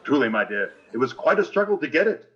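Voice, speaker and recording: A man's voice: mid-range voice, very animated, fast talker, a bit bright, muffled recording, slight background noise. A man playing a pompous, blustering, tight-fisted principal in a theatrical radio sitcom style. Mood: hasty, unctuous. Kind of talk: 1940s radio sitcom acting on stage.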